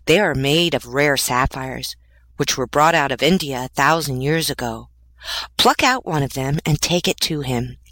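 A woman playing a sorrowful, gentle male statue prince in a storybook, speaking in a measured, solemn, imploring way. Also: very deep voice, animated, no room sound, full character voice. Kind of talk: storybook character voice.

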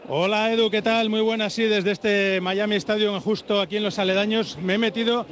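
A man's voice: high-pitched, steady tone, normal speed, a bit bright. A man with an accent from Spain reporting live for sports radio from a queue outside a stadium. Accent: Spanish, from Spain. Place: outdoors in a stadium entrance queue. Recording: outdoor stadium crowd noise. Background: crowd of fans.

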